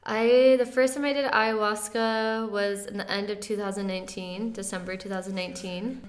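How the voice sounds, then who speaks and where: A woman in her twenties, talking as an interview guest in a relaxed, soft-spoken way with light laughs and many fillers. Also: mid-range voice, moderate pace, faint music behind. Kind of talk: casual interview conversation.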